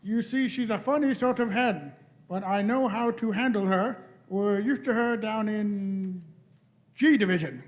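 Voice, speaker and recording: A man's voice: high-pitched, unhurried, rough recording. A man playing an earnest, flustered young space-travelling companion in a radio play, with nervous hesitations. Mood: nervous bluffing. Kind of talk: live radio play reading.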